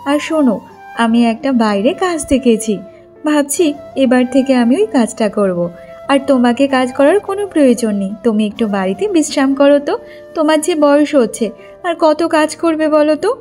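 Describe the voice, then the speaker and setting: A woman's voice: high-pitched, fairly quick, mellow, soft background music, natural voice. A woman voicing a dutiful, caring young village daughter in a cartoon story, speaking in an earnest, coaxing manner. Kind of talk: Bengali cartoon story dialogue.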